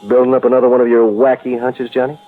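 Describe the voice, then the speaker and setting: An actor playing a gruff, wry homicide sergeant, blunt and colloquial, in an old-time radio crime drama. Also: male voice, medium pitch, monotone, fast, lightly acted. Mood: wry, needling. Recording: tinny vintage radio recording.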